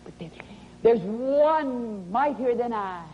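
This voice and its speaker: Slow, dramatic woman preacher, dull noisy recording. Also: fairly high pitch, animated. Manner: dramatic, emphatic, drawn-out words with breathy whispers and emphatic repetition. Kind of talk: revivalist sermon with testimony. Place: sanctuary, preaching to a congregation.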